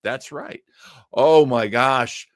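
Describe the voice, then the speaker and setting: A man's voice: mid-range voice, very slow, no echo, studio quality. A middle-aged man hosting a web show in a casual livestream chat, rambling and effusive, repeating words for emphasis. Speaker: host of a web show on old time radio. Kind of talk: casual livestream chat, answering audience comments.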